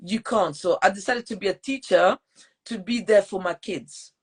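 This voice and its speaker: A woman's voice: medium pitch, normal speed, husky. Chatty woman with a French accent. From Portugal. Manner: chatty, upbeat, with many fillers and restarts. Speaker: podcast host. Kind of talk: casual live interview.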